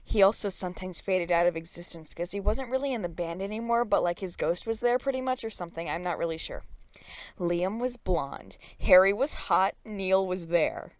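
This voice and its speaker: A woman's voice: on the low side, expressive, moderate pace, dry recording. Woman doing mock-dramatic reading, dry sound. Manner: theatrical, playfully emphatic. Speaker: reader of a comic story.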